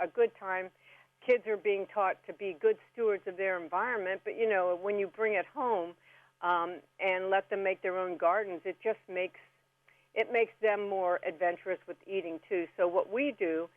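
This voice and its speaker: A woman's voice: medium pitch, dry recording. Woman on a tinny phone line. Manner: chatty, folksy, instructive. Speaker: radio guest giving gardening tips. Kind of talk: casual step-by-step how-to chat. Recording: band-limited phone-like radio call.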